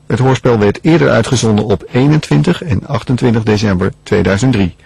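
A man's voice: mid-range voice, a little fast, gravelly, darkish tone, slightly rough, no echo. A man presenting a radio programme, reading a scripted broadcast introduction.

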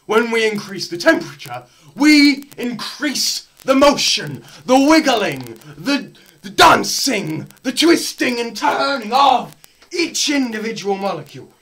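A young man performing a theatrical scripted lecture, melodramatic, swinging between hushed murmurs and loud cries. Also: extremely high pitch, very animated, unhurried, vocal fry. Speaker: presenter performing a dramatised physics lecture. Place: small plain room indoors.

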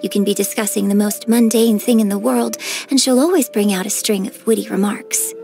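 A woman voicing a graceful, gentle and cheerful young female opera singer in a game, with polite, elegant diction. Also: medium pitch, lively intonation, a little fast, gravelly. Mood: admiring. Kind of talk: scripted game character voice lines. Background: background music.

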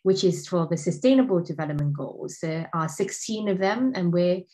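A woman's voice: lowish voice, lively intonation, normal speed, mellow. A woman in her thirties from Hong Kong, giving a casual talk with slides over a video call, with frequent ums and restarts. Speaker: guest speaker.

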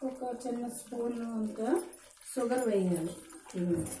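A woman's voice: fairly high pitch, measured pace, warm tone. A woman giving an informal cooking demonstration, instructing viewers directly as a home cook. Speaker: home cook presenting a recipe. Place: indoor home kitchen at the stove. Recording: roomy kitchen sound with background noise.

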